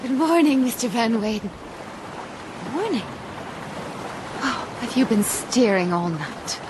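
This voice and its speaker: An actress playing a spirited, determined young castaway woman in a radio drama, speaking politely in lively old-fashioned phrasing. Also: a little high, measured pace. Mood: curious. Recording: noisy boat and water ambience behind.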